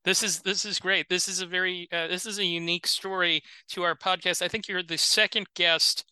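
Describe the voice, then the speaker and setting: A man's voice: high-pitched, fairly even intonation, fairly quick, sharp tone, clean tone, dry recording, poor audio. A man hosting a podcast, asking curious, upbeat questions. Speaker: podcast host. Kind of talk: interview questions.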